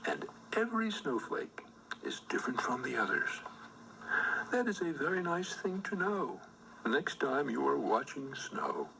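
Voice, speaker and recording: A man reading a children's storybook aloud in an expressive, scripted read-aloud style. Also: medium pitch, measured pace, gravelly, background noise. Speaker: storybook reader.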